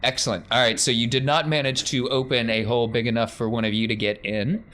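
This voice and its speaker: A man's voice: mid-range voice, little pitch movement, quick pace, a bit bright. A man acting as game master, narrating and refereeing a tabletop role-playing game with a theatrical touch. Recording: faint background noise.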